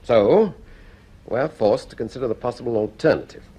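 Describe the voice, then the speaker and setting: An actor playing an urbane, authoritative senior scientist in a radio drama, with a deliberate, reassuring way of talking. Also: male voice, medium pitch, animated, warm tone, slightly rough. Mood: speculative.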